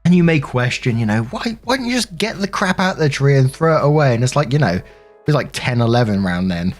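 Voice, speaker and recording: British male, casual storyteller, chatty and rambling, mid-range pitch, music underneath. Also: sing-song, fast. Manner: chatty, rambling, freely swearing. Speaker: narrator and host of a story compilation. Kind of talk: casual storytelling with personal commentary.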